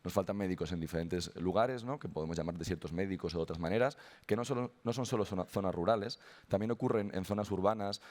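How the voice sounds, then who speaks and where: Hoarse young man speaking quickly. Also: low-pitched, darkish tone. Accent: Spanish. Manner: hesitant, frequent restarts and tag questions. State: hoarse voice after illness. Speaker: conference speaker. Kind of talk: conference talk.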